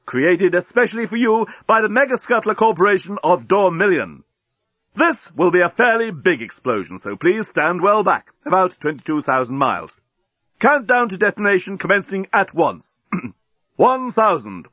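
Talking bomb for a storybook, male reader: grandiose, slow, raised pitch, husky, proud corporate announcer. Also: sing-song, a bit bright, no room sound, highs cut off, full character voice. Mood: proud, booming. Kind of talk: storybook audiobook character voice.

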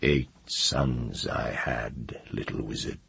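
Man voicing an ancient dragon, deep dry whisper. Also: fairly even intonation, creaky, husky, heavily acted. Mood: grim, cold. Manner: slow, quiet, deliberate. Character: ancient huge dragon, sly, dry-voiced, taunting. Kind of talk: audiobook fantasy character voice.

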